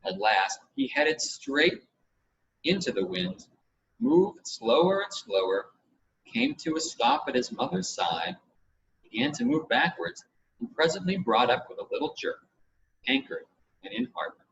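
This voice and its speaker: A man reading a children's adventure story aloud in expressive scripted narration. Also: a little high, a little slow, gravelly. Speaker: audiobook reader. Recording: dull, lo-fi with audible background noise.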